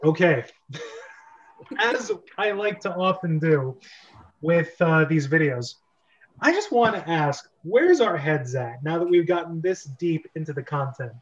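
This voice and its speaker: A man's voice: a little high, lively intonation, unhurried. A man hosting a script reading club, chatting casually with the group. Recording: dull, lo-fi online recording.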